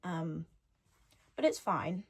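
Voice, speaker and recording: A woman's voice: lowish voice, expressive, slow pace, breathy edge. An eighteen-year-old woman hosting her own solo podcast from home, chatting and rambling freely, often repeating her own phrases, recorded on a device's built-in mic with no podcast microphone. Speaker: podcast host.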